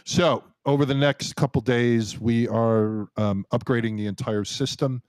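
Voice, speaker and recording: A man's voice: medium pitch, fairly even intonation, measured pace, darkish tone, dry recording. A man hosting a live online Q&A, loose and casual, swearing and laughing easily. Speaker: host and site founder. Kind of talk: casual live stream chat.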